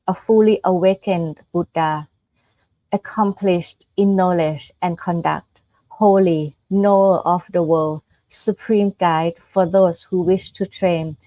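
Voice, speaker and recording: Thai-accented woman, native Thai speaker, soft-edged mellow tone, informal Buddhist teaching, conversational, dry close sound. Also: on the low side, no echo, poor audio. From Thailand. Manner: conversational, explains step by step with frequent check-in questions. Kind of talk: informal dharma talk.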